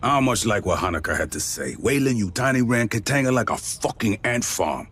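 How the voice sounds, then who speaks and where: A man voicing a jaded, blunt marine officer with a husky voice, giving a sardonic game mission briefing. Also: lowish voice, animated, brisk, fairly bright tone. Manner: sardonic, profane, plain-spoken.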